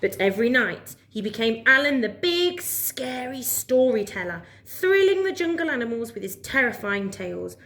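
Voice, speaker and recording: A woman reading a children's story aloud as a storyteller, with expressive narration. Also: on the high side, moderate pace, hardly any pauses.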